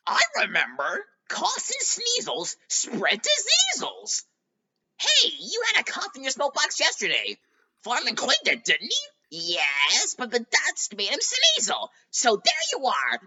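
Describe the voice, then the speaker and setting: Two tiny tank engine twins chatter in high, bright, slightly breathy voices. They are cheeky and quarrelsome, and their pitch leaps around constantly. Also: male voice, huge pitch swings, exaggerated voice. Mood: bickering. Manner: squeaky, mischievous, quick to argue.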